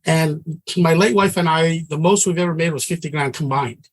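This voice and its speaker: A man's voice: fairly high pitch, fairly even intonation, moderate pace, husky. A middle-aged man from Samoa, teaching a trading class in an earnest, conversational way and often restarting his sentences. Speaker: trading instructor.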